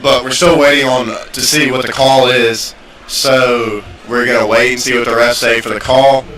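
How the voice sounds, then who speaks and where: Bright-voiced co-announcer man. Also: mid-range voice, normal speed. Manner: steady, hands off to partners by name. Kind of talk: live sports commentary. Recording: echoey, distant mic.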